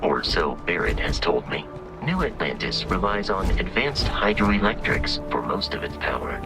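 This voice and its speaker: A man's voice: low voice, big pitch swings, normal speed. A man voicing a polite, matter-of-fact robot guide in a video game, speaking in formal, precise phrases. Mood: formal, helpful. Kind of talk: scripted video game acting. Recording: loud music behind the voice.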